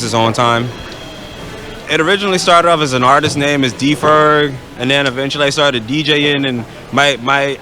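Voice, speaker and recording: A man's voice: mid-range voice, bright, forceful tone. A man from the Bahamas, a music producer and DJ, giving casual interview answers about himself.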